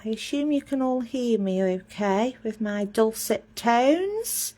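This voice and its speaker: A woman's voice: fairly high pitch, expressive, takes it slowly, dark tone. A woman giving a casual live drawing lesson, chatty and self-mocking as she talks through each step while drawing. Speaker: drawing teacher.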